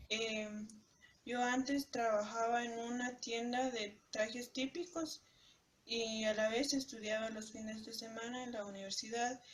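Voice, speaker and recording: A woman's voice: fairly high pitch, slight background noise, poor audio. A woman from Guatemala, a former university student, speaking hesitantly.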